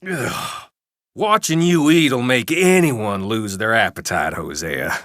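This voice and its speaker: An actor playing a mean Old West outlaw in a video game, mocking and insulting his gang with drawn-out taunts. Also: fairly low pitch, fairly bright tone, no echo, clean studio sound. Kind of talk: video game character barks.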